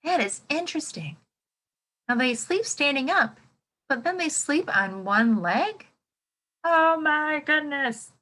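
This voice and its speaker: A woman teacher reading a nonfiction book aloud to children, expressively, thinking out loud and putting questions to her listeners. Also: high-pitched, lively intonation, takes it slowly. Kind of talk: interactive children's nonfiction read-aloud lesson.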